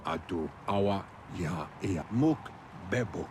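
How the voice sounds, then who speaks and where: A man doing a low, mumbling old creature. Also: animated, takes it slowly, darkish tone, faint music behind. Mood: muttering. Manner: mumbling gibberish. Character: old creature babbling a made-up tongue.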